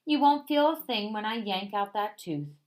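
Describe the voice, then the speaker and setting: A woman's voice: mid-range voice, animated, normal speed, a little mellow, pitched above the performer's own voice, lightly acted. A woman voicing a careful, firm male mouse dentist who speaks precisely. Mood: professional.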